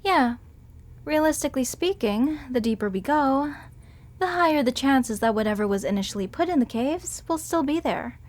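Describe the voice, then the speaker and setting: A woman's voice: high voice, animated, moderate pace, darkish tone. A woman voicing a sweet, bookish female museum curator who earnestly explains legends in an audio roleplay drama. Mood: lecturing. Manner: earnest, lecturing at length on legends and history.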